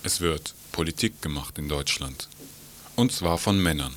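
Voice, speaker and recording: A man reading scripted narration for a radio feature in a measured, formal, emphatic manner. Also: extremely low pitch, lively intonation, slight background noise.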